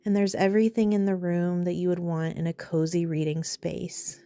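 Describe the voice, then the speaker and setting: A woman leading a guided meditation in a calm, soothing, unhurried way, pausing between phrases. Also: on the low side, clean tone.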